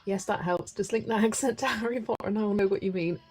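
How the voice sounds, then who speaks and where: A woman's voice: mid-range voice, quick pace, darkish tone, breathy edge. A young English woman from the West Country with a mild accent, chatting casually while hosting a live stream and laughing often. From West Country, England. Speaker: live-stream host colouring.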